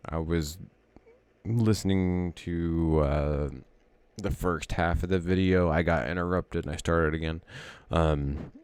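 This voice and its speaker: A man's voice: sits low, fairly even intonation, takes it slowly, darkish tone, smooth, no echo. A man casually thinking aloud as he walks through trading charts, rambling and correcting himself as he goes. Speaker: trading educator.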